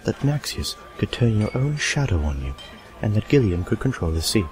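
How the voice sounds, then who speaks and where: A man's voice: lowish voice, sing-song, normal speed, faint music behind. A man playing an earnest, loyal friend in a fantasy audio drama, speaking in a sombre way.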